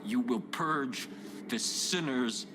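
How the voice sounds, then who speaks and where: An actor playing a solemn, fervent cult leader in a game, preaching to his followers in a measured way. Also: medium pitch, noisy background, lightly acted. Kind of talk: video game cutscene sermon. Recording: music behind the voice.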